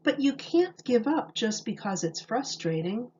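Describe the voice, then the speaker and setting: A patient mother turtle from a storybook, voiced by a woman reader, speaks in a fairly high voice. She coaxes and reassures with steady encouragement. The recording is a little dull. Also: measured pace. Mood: encouraging. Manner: coaxing, reassuring.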